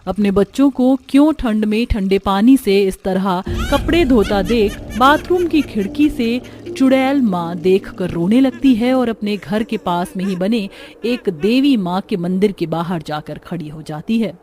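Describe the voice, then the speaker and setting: A woman's voice: mid-range voice, a little slow, darkish tone, soft background music. A woman narrating a cartoon story in a dramatic scripted style.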